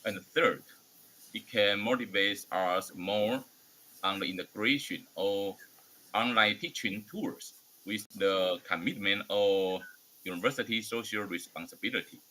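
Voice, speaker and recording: Low-voiced Taiwanese man hosting, halting English. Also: pushed and bright, a little hiss or hum, low-quality recording. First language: Mandarin Chinese. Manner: halting, hesitant non-native English delivery. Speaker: host of an online academic forum. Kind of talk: formal welcome speech.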